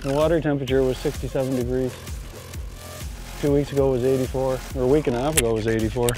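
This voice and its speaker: A man in his thirties, a bass angler, chatting casually while fishing from a boat on a lake, chuckling and talking to the fish. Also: steady tone, fast talker, warm tone, soft background music. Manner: easygoing, chuckles, talks to the fish. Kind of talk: casual on-water commentary.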